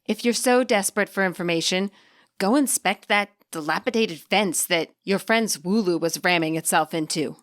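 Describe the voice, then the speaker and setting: A woman's voice: on the low side, moderate pace, voice pushed down, lightly acted. A woman voicing a secretive, impatient mystery caller in a game story, terse and disapproving. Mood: curt, impatient.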